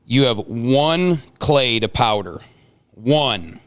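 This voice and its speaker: A man's voice: medium pitch, expressive, extremely slow, clear, resonant tone. A man hosting a casual chat, playfully prompting and hyping up the moment in a mock-dramatic way. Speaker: host.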